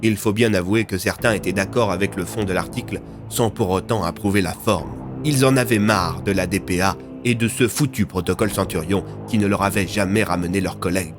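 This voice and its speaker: A man's voice: sits low, fairly quick, hardly any pauses, music in the background. A man reading scripted French audio fiction as its narrator.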